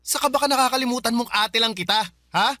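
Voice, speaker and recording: A man's voice: very high-pitched, quick pace, pushed and bright. A man playing a defiant, resentful young brother arguing in a radio drama. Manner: argumentative, defiant. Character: rebellious, resentful young jobless brother lashing out. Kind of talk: radio drama dialogue in Tagalog love story.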